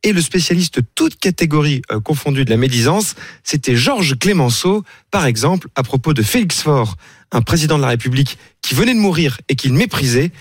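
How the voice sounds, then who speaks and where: A man's voice: on the high side, animated, brisk, no room sound. Wry male history columnist, dry sound. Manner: storytelling, wry asides. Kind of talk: radio history chronicle.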